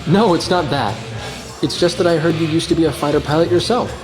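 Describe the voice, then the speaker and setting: A man's voice: on the high side, brisk, close to an everyday voice. A man playing an earnest young war journalist in a game, narrating reflectively and asking sincere questions. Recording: music behind the voice.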